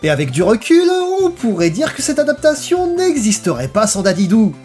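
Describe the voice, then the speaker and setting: A narrator delivering a scripted video review in an expressive, emphatic way. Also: mid-range voice, normal speed, soft background music.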